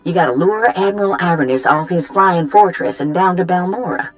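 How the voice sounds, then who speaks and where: A woman's voice: on the low side, fairly quick, faint music behind, close to an everyday voice. A woman playing a sly handler who gives deadly assignments in a video game. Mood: teasing instruction. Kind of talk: video game cutscene acting.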